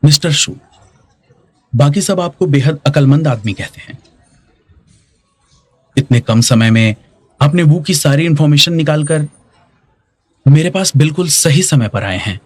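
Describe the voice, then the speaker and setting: A calculating businessman in a dubbed TV drama. His voice sits a little high and is husky, with a dry studio sound. He speaks in a measured, appraising way. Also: animated, dry recording. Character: shrewd, measured businessman sizing up an ally.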